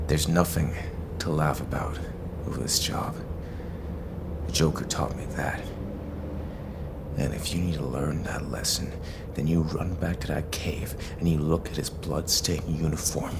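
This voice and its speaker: A man playing a grim, stubborn, ailing older masked vigilante, terse and gravelly, in an audio drama. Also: steady tone, unhurried, long pauses, background noise, exaggerated voice. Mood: bitter. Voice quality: deep gravelly growl. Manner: terse, brooding, defiant.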